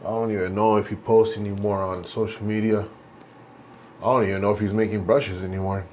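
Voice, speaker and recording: A man's voice: fairly low pitch, level tone, brisk, a little mellow, slight background noise. A man in his late forties chatting casually while shaving, rambling and correcting himself as he goes. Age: 40s. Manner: rambling, self-correcting, laid-back. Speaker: vlogger.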